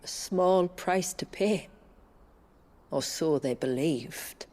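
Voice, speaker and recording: An actress playing a grave village guide who keeps her people's history, speaking in a measured, solemn storytelling way in a fantasy game. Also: sits low, darkish tone. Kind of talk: dramatic fantasy game dialogue. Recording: music under the voice.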